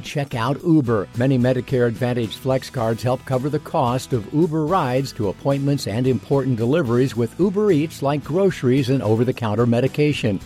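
A man's voice: mid-range voice, darkish tone. Male co-host reading a script. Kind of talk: scripted presenting and ad read.

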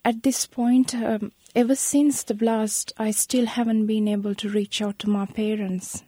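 A Sri woman in her thirties, a guest telling a personal account in an interview, speaking softly and haltingly, with many pauses. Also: on the high side, flat delivery, dry recording. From Sri Lanka. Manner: soft, halting, breathy delivery with many pauses.

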